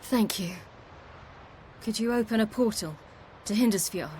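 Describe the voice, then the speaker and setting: A woman's voice: medium pitch, unhurried, a little mellow, a little hiss or hum, natural voice. An actress with a British accent playing a determined, proud young warrior woman in a video game, earnest and intense. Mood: subdued, grieving.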